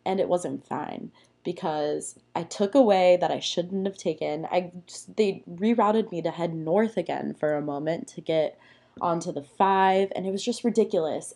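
Young woman host, hoarse and raspy from a cold, unhurried, low-key chatty delivery. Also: a little mellow. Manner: chatty, playful, rambling with fillers. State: hoarse from being sick. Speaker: podcast host. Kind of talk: casual solo podcast chat. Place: small room at home.

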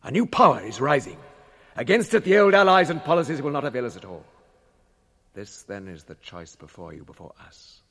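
An actor playing a proud, scheming old wizard in a radio drama, with grandiose and scornful theatrical delivery. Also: male voice, mid-range voice, big pitch swings, brisk, fairly bright tone. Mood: persuasive, scheming. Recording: reverberant chamber radio drama sound.

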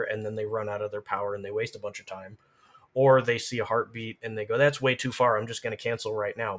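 A man's voice: on the low side, a bit bright. A man, a streamer and podcast guest, casually explaining game tactics in an emphatic, encouraging way.

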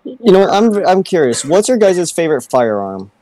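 A man's voice: high-pitched, big pitch swings, moderate pace, mellow, slight background noise, rough recording. A young man chatting casually on a podcast, bringing up new topics. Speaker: podcast co-host. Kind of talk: casual chat.

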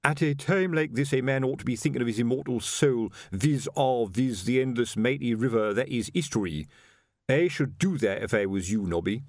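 A man voicing a stout middle-aged male sergeant who speaks slowly with quiet menace. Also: lively intonation, no echo, clean studio sound, close to an everyday voice. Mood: stern. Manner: slow, low, pointed.

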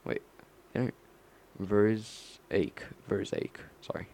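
A young man rambling on his own late at night, mumbling slowly and halting often with fillers. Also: lowish voice, steady tone, creaky, warm tone, a little hiss or hum.

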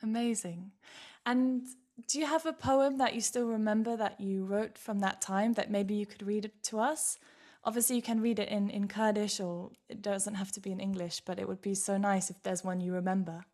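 Soft-spoken woman hosting an interview. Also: medium pitch, steady tone, normal speed. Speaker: podcast host. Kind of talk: interview conversation.

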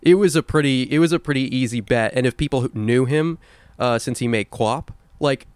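A man's voice: mid-range voice, brisk, a little mellow, clear, resonant tone, no echo. A young man co-hosting a casual video game podcast, opinionated and swearing freely. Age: young adult. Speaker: podcast co-host. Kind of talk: casual chat about video games.

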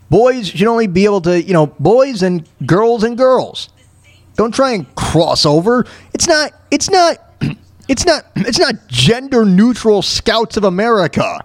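A man's voice: sits high, dry recording. A man hosting a talk show with casual, opinionated commentary, often stammering and repeating his phrases as he goes. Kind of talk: casual off-the-cuff radio commentary.

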